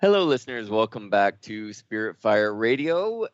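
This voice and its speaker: A man's voice: on the low side, sing-song, moderate pace, loud music behind the voice. A man hosting a talk radio show, speaking earnestly and thoughtfully with fillers and restarts. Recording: dull lo-fi sound, dry.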